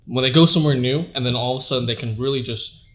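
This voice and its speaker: A man's voice: mid-range voice, quick pace, a bit bright. A man hosting a casual podcast interview, talking in a laid-back, rambling way with many fillers. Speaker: podcast host.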